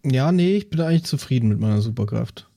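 Mellow-voiced man, very dry sound. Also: medium pitch, animated, fast, vocal fry, no room sound. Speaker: tabletop role-playing show player. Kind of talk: casual chat.

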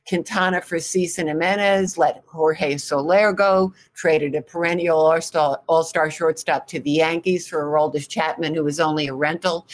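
A woman with a notably low voice hosts a sports interview. She speaks directly and probingly, in the manner of a seasoned questioner. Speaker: interviewer, podcast host.

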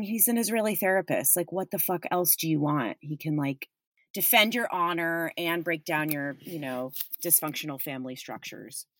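A woman's voice: sits low, no echo. A young woman hosting a podcast, talking candidly and swearing freely, with frequent laughs, recorded in a closet at home. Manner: candid, profane, bubbly, laughs often. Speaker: podcast host. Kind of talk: casual podcast intro and interview. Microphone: studio mic close up.

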